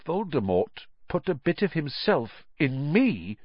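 An earnest, worried young schoolboy wizard, played by a male narrator, pitched up high and pressing his words out urgently. His tone has a husky grain, and the recording is dry and slightly muffled. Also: sing-song, takes it slowly, no room sound, full character voice. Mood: anxious, earnest. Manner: urgent, raised, pleading.

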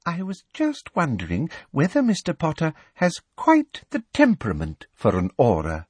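Male narrator playing a honeyed, simpering woman official, higher voice, husky edge. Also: wildly swooping pitch, a little slow, dry recording, full character voice. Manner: sugary, simpering, mock-polite. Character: simpering, falsely sweet female official.

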